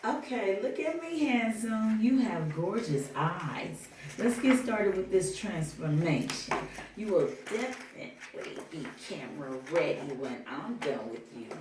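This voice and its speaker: A woman's voice: mid-range voice, lively intonation, measured pace, lightly acted. A woman playing a seductive, teasing female makeup artist in a cold read of a film script. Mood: teasing, flirty. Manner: teasing, sweet-talking. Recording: echoey room, distant mic, faint noise.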